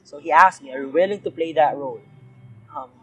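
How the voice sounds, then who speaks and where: A man's voice: a little high, brisk, bright, forceful tone, breathy edge, low-quality recording. A young Filipino man with a Filipino accent, speaking in an interview about his acting. He talks earnestly, restarts his sentences often and mixes Tagalog with English. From Philippines. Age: young adult. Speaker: actor. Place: indoors in a cinema with red seats.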